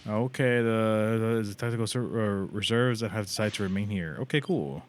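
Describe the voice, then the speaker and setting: A man's voice: lowish voice, moderate pace, slight background noise. A man casually commentating his gameplay with slangy swearing and an impatient, exasperated manner. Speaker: live streamer playing a game. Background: video game music.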